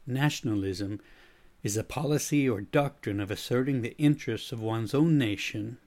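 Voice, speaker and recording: A middle-aged man with an American accent slightly tinged with Swedish, delivering a prepared explanatory monologue in a measured, didactic manner. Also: medium pitch, a little mellow. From United States. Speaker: podcast host, speaker and consultant.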